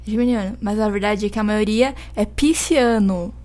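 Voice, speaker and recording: A woman's voice: mid-range voice, moderate pace, darkish tone. A young woman co-hosting a true-crime podcast, rambling with fillers and posing dramatic questions. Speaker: podcast co-host. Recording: faint music behind.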